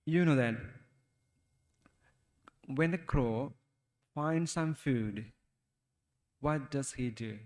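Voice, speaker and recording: Slow Sri Lankan monk in echoing hall. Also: male voice, mid-range voice, darkish tone. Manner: slow, halting, asks the listeners questions. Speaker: Buddhist monk teaching youth. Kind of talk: Dhamma talk for children.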